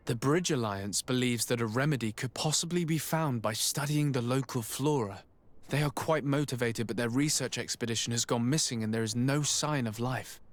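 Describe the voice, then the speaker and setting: A man's voice: medium pitch, natural voice. A man playing a dutiful, composed young noble envoy in a video game, speaking formally and politely. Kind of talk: video game cutscene acting.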